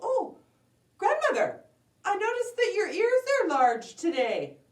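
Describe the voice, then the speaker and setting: A woman's voice: very high voice, huge pitch swings, a little slow, pitched above the performer's own voice, exaggerated voice. A woman voicing a polite, innocent, hesitant little girl in a children's storybook tale. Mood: hesitant, curious. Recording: roomy echo, distant from microphone.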